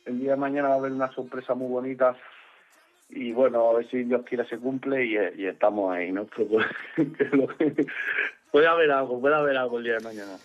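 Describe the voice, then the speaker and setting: A man's voice: medium pitch, fairly quick. A young man from Mallorca talks about his music career in a loose, rambling way, with restarts and the odd curse. His voice comes through a narrow, phone-like radio line. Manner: relaxed, rambling, many restarts, casual swearing. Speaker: music artist guest. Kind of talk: casual interview chat.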